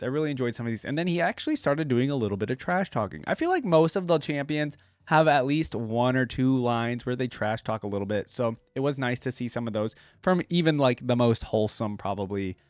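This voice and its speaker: A man's voice: medium pitch, no room sound. A man hosting a reaction video, giving casual off-the-cuff commentary in a musing, conversational way.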